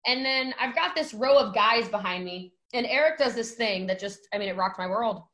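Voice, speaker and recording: A woman in her thirties, a guest telling a story in casual chat, animated and acting out quotes with lots of fillers. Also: a little high, moderate pace.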